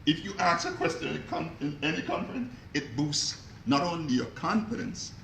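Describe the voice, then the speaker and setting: A gravelly-voiced man gives an energetic motivational talk to a live audience, asking them questions as he goes. His voice has a rough, creaky edge. The room is echoey, with a faint hiss underneath. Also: on the high side, moderate pace. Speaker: motivational speaker.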